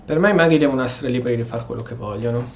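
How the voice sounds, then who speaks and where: A man's voice: mid-range voice, racing, vocal fry, highs cut off, a crowd in the background. A man from Italy giving casual let's play commentary, reacting aloud and answering characters in role. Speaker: gaming video host. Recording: faint game ambience behind. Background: video game audio.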